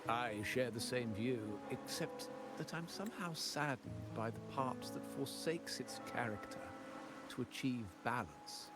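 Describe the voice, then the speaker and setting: An actor playing a composed, philosophical elderly gentleman in a video game, speaking in a measured, formal, contemplative way. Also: mid-range voice, animated, natural voice. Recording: music behind the voice. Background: loud game music.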